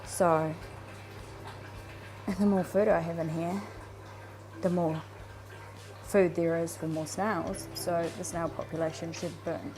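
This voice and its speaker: A woman's voice: lowish voice, steady tone, takes it slowly, long pauses, darkish tone. A woman with a New Zealand accent, chatting casually as she films her home fish room, rambling with plenty of ums and restarts. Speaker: fishkeeping vlogger. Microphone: handheld camera.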